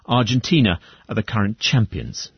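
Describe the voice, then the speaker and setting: A man presenting the news and interviewing guests in a measured, formal way. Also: on the low side, expressive, vocal fry, dull audio.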